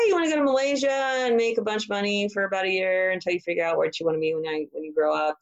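A woman's voice: medium pitch, lo-fi sound. A woman in her fifties, a former ship's captain, chatting casually as an interview guest, self-deprecating and laughing often. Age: 50s.

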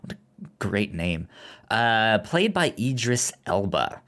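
A man's voice: on the low side, very animated, slow, fairly bright tone. A man co-hosting a podcast, chatting casually about a movie, often stammering and repeating syllables. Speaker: podcast co-host.

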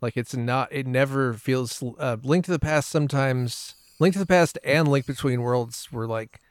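A man's voice: mid-range voice, normal speed, clear, resonant tone, dry recording, studio quality. A man talking casually as a panelist in a podcast discussion.

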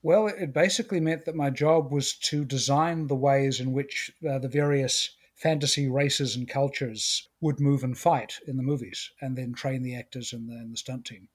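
A middle-aged man with a New Zealand accent, a guest in a casual interview, talking in a relaxed, thoughtful way. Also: mid-range voice, moderate pace, hardly any pauses, breathy edge.

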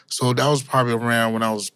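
Thirties male dancer guest; relaxed slangy talker, dry recording. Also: medium pitch, quick pace, smooth, dry recording. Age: 30s. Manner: laid-back, slangy, full of fillers. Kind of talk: casual interview chat.

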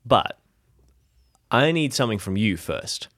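Man playing a persistent, pleading nephew, very animated. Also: mid-range voice, dry recording, studio quality. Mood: questioning. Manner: insistent, questioning, halting. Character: pleading ghost nephew, a persistent worried father.

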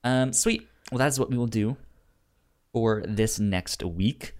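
A man co-hosting a casual review podcast, with a stutter that repeats sounds and a halting, easygoing delivery. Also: mid-range voice, a little mellow. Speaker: podcast co-host.

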